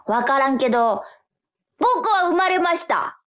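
A woman's voice: high voice, sing-song, measured pace, fairly bright tone, voice pushed up, full character voice. A woman playing a bewildered soul in an absurd poetic radio drama, speaking in playful, plaintive fragments.

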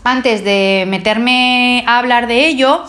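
Gentle Spanish woman hosting a podcast. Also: on the high side. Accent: Spain Spanish. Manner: gentle, encouraging, addresses listeners directly; hesitant, many drawn-out fillers. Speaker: podcast host. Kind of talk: solo self-help podcast monologue.

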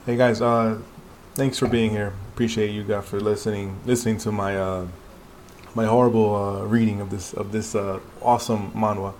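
A man's voice: on the low side, little pitch movement, moderate pace, darkish tone, slight background noise. A man chatting casually and enthusiastically in informal slang as he hosts a read-along of a comic. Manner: informal, slangy, enthusiastic, voices sound effects. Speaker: podcast host doing a live read-along. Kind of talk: casual chat and animated reading of a comic.

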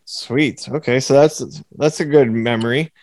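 A man hosting an interview in casual chat, his voice raspy, blunt and swearing freely. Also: mid-range voice, unhurried, very noisy. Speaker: podcast host.

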